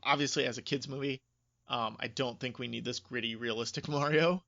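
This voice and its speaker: A man's voice: mid-range voice, steady tone, fairly quick, gravelly, highs cut off, over a noisy crowd. A man chatting casually and emphatically as a podcast co-host, explaining his opinions.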